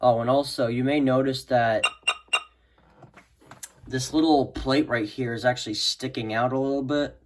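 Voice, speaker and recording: Guy doing a casual hobby demo video, rambly and unsure, middle pitched voice, noisy homemade audio. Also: steady tone, normal speed, long pauses. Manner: rambling, hesitant, repeats "so yeah". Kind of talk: casual unscripted product demo. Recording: clearly noisy amateur home recording.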